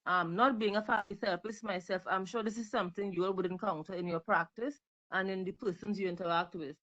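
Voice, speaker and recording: A woman's voice: mid-range voice, steady tone, quick pace, a bit bright, dull audio. Emphatic woman on a call. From Trinidad and Tobago. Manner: emphatic, repeats words for stress. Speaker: panel audience member. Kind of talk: comment in a webinar discussion. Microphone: video call.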